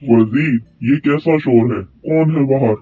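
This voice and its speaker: A man's voice: mid-range voice, takes it slowly, close to an everyday voice. A man playing an authoritative king in a moral cartoon story, speaking in a formal, regal, commanding way. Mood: questioning. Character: authoritative king, commanding, concerned for his subjects. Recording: tinny phone-like sound, music bed.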